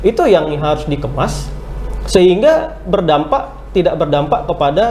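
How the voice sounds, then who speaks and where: Give me a male lawyer guest explaining law with role-play examples, mellow voice. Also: fairly high pitch, big pitch swings, normal speed, echoey room, slight background noise. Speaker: guest insolvency lawyer and curator. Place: podcast studio.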